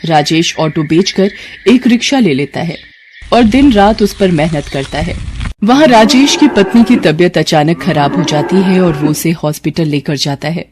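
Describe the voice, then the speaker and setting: A woman's voice: fairly low pitch, expressive, moderate pace, background noise. A woman narrating a scripted story as a storyteller.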